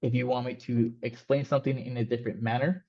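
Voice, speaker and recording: A man's voice: medium pitch, level tone, fast, a little mellow. Spanish-native man teaching a coding class. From Mexico. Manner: loose and casual, many fillers and restarts. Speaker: coding instructor. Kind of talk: online class talk. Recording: slightly dull, lo-fi sound.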